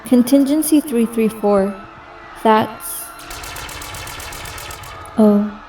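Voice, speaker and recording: A woman's voice: fairly high pitch, drawn-out words, dark tone, far from the mic, rough recording. An actress playing a disillusioned young soldier narrating her thoughts in an audio drama. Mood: shocked, anguished. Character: disillusioned young woman soldier on covert mission. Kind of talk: first-person audio drama inner narration.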